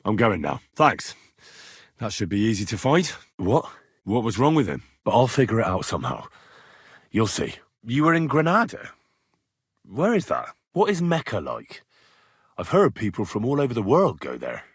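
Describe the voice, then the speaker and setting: An actor playing an earnest, inquisitive young medieval blacksmith turned adventurer in a video game, speaking in a plain-spoken way. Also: male voice, mid-range voice, breathy edge, dry recording, clean studio sound. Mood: curious, friendly. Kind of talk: video game dialogue lines.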